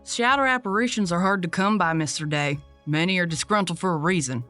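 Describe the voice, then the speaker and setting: A brazen woman exorcist , played by an actress, talks in folksy, sardonic turns of phrase. She is tough and foul-mouthed, in audio drama style, with music clearly behind her. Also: on the low side, a little fast, a bit bright, natural voice.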